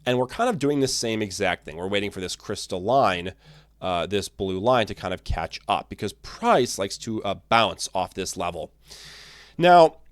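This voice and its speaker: A man hosts his own market commentary show, his voice a touch raspy from a long day of talking. His delivery is energetic and lively, with pitch swinging widely as he pushes through his points. The sound is dry and close, with little room around it. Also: no room sound. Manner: energetic, animated, restarts and fillers. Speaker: crypto channel host. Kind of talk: casual market commentary to camera.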